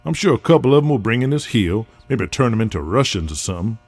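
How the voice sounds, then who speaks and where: A man's voice: fairly low pitch, expressive, quick pace, slightly rough. A man playing a brash, persuasive wrestling booker with big plans in an audio drama, talking in a folksy, salty way. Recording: music bed under the voice.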